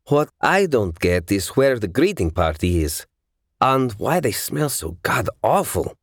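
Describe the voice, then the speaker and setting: A man's voice: fairly low pitch, very animated, unhurried, no room sound, clean studio sound. A man playing a protective, wry, grumbling gunman in an audiobook. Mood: disgruntled. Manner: grumbling, decisive.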